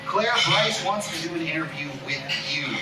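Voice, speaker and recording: A man's voice: fairly high pitch, animated, moderate pace, close to an everyday voice. Man as dry, weary older agent, distant mic. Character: weary sixties male talent agent. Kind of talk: table read of a comedy pilot. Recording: echoey room, distant mic, noisy.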